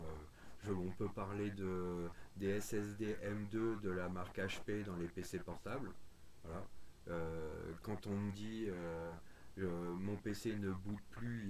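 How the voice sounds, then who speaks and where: A man's voice: deep voice, a little mellow, slight background noise. A man chatting casually and bluntly in informal, opinionated slang. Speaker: radio hobbyist chatting on a group call stream. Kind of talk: casual chat.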